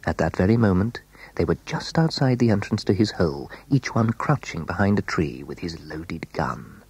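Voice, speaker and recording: Deep, animated, husky male storyteller. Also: normal speed, no echo. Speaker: narrator. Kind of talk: scripted children's story narration.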